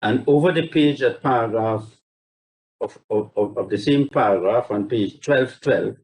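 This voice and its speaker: A man speaking as a barrister in a formal, measured and courteous legal submission, reading carefully from judgments over a video link. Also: mid-range voice, darkish tone, rough recording. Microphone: video call.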